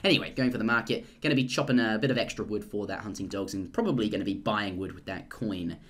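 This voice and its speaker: A young man casting a live strategy game, rambling and jokey, and getting excited at big plays. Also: fairly low pitch, fast. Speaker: esports caster.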